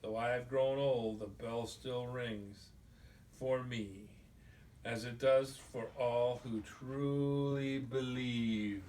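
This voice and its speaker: A man's voice: mid-range voice, steady tone, slow, a little mellow. Man reading a storybook from far off. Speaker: amateur storybook reader. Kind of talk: reading a children's picture book aloud to family. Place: room with listeners, mic at a distance. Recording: distant microphone, noisy room.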